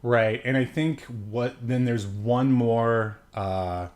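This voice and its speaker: A man's voice: medium pitch, slow, darkish tone. A man co-hosting a podcast, recapping a film in casual, easygoing, joking chat. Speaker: podcast co-host.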